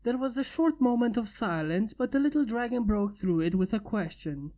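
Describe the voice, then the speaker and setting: A woman reading fan fiction aloud in a steady storybook narration. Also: a little high, normal speed. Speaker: fanfiction reader.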